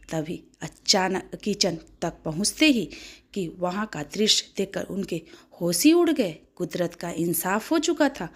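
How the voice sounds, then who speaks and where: A woman narrating a dramatic moral story in an expressive, emotive way, now and then stumbling over her words. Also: on the low side.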